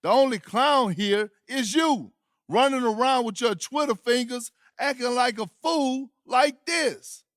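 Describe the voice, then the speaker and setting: Scornful high-voiced American pundit man. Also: fairly bright tone, no room sound, clean studio sound. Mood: mocking, outraged. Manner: mocking, emphatic, drawn-out. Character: scornful, theatrical male sports show pundit. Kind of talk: opinionated sports commentary.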